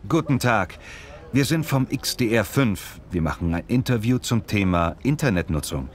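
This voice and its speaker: Male actor as polite survey reporter, deep register, careful scripted questioning for learner audio dialogue. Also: lively intonation, moderate pace, lightly acted. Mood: polite, inquiring. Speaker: interviewer. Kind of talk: scripted language-lesson dialogue.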